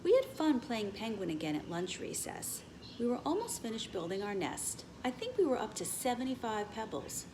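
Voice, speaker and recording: A woman's voice: a little high, sing-song, moderate pace, noisy background. A woman reading a children's story aloud as a teacher, expressively, with asides to her young listeners.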